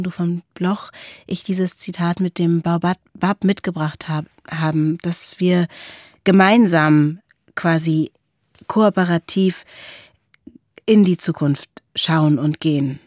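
A woman's voice: fairly low pitch, fairly even intonation, a little slow, darkish tone, no echo, clean studio sound. A woman co-hosting a conversational discussion, reflective and summarising, with tentative endings to her thoughts. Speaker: podcast co-host.

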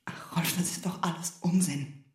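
A woman playing a proud, wounded wife in a German radio drama, reproachful and intense, sometimes dropping into hushed asides. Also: fairly low pitch, fairly even intonation, normal speed, roomy sound, in a whisper, lightly acted. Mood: bitter, resigned. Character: wounded, proud wife confronting her husband and lover.

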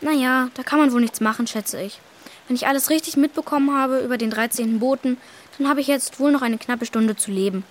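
A woman's voice: sits high, fairly quick, slight background noise, close to an everyday voice. A woman playing a brave but weary young boy ghost hunter in a children's radio drama, earnest and plain-spoken. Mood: resigned.

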